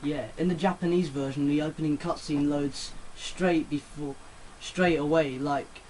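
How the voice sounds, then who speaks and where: A man's voice: fairly high pitch, fairly even intonation, a little slow, a crowd in the background, poor audio. A man giving casual Let's Play commentary as a co-host, explaining things in a rambling, repetitive way. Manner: rambling, repeats phrases as explaining. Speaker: gaming co-host. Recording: loud game music behind the voice.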